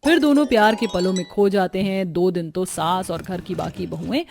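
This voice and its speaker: A woman's voice: lowish voice, moderate pace, creaky, a little mellow. A woman reading scripted narration for a cartoon family drama. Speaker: story narrator.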